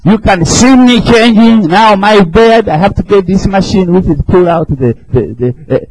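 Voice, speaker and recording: A middle-aged man from Africa with an East African accent, preaching a fervent sermon in a church, often shouting and chuckling. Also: high-pitched, moderate pace, no echo, low-quality recording. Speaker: visiting preacher.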